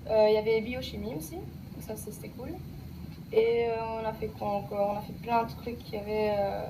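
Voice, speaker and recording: A woman's voice: on the high side, fairly even intonation, brisk, far from the mic, background noise. A young woman, a former student, speaking casually in a radio interview with many fillers about what she learned. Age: young adult. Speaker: alumna, guest.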